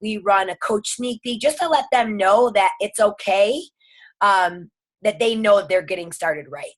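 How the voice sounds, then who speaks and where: A woman's voice: mid-range voice, a little slow. A woman leading an informal training on a group call, instructive and emphatic, repeating her key points. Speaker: sales team trainer. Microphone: online group call.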